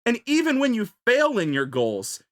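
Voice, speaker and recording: A podcast panelist giving an improvised comic pitch, playfully salesmanlike and fond of rhetorical questions. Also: wildly swooping pitch, moderate pace, fairly bright tone.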